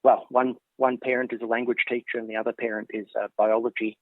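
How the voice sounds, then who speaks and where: An Australian man in his fifties answers interview questions at an easy, thoughtful pace, often pausing on ums and restarting his sentences. His voice sits in a middle register and comes through a thin, phone-like line with a dry, close sound. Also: mid-range voice, flat delivery, dry recording. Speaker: interview guest, professor.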